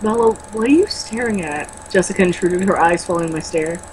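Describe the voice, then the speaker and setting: Woman reading aloud over clear background noise. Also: on the low side, brisk. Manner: stumbles over words when reading, blunt and sweary in asides. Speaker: co-host reading a novel aloud with commentary. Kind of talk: amateur read-aloud with mocking casual commentary.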